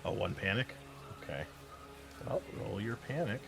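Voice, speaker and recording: A man narrating and running a tabletop game as game master, in a relaxed, loose way with plenty of fillers. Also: fairly low pitch, normal speed, gravelly, soft background music.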